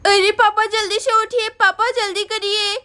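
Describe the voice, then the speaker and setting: A woman's voice: very high voice, little pitch movement, unhurried, sharp tone, full character voice. A woman voicing a young daughter, anxious and tearful about her hurt mother.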